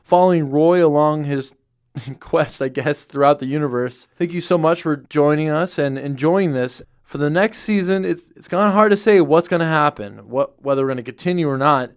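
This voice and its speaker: A man's voice: on the high side, moderate pace, smooth. A man speaking casually as writer and director, thanking listeners at the end of his audio drama.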